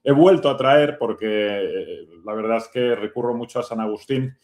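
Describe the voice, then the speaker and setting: A male radio host talks in a mid-pitched voice with a noticeable room echo. He interviews conversationally, pausing often and checking in with short tag questions. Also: darkish tone. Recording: roomy, echoing sound.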